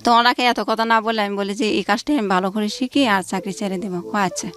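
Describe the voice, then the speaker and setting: A woman's voice: medium pitch, racing, fairly bright tone. A woman, telling her life story informally as an interview guest and acting out conversations as she goes. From Bangladesh. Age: late 20s. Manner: recounts events through reported dialogue. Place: radio studio.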